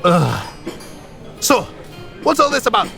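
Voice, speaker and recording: Cheeky boisterous hunter, very creaky voice, bright edge, folksy teasing. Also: male voice, high-pitched, wildly swooping pitch, takes it slowly, soft background music, full character voice. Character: jovial, cheeky, chatty hunter and ale drinker. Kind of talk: fantasy audio drama acting.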